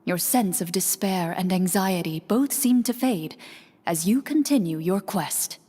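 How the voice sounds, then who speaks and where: A woman playing a composed storyteller in a fantasy game, narrating in a measured, descriptive manner. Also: fairly low pitch, dark tone, a little hiss or hum, lightly acted. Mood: atmospheric, calm. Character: composed female storyteller guiding fantasy quest. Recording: long reverb with music behind.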